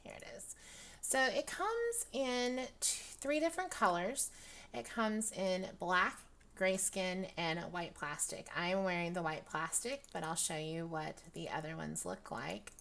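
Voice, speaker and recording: A young woman with a dry, raspy voice, chatting casually through a product review with lots of ums and restarts. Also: on the low side, unhurried, a bit bright. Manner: rambling, many ums, laughs often. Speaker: virtual-world fashion vlogger.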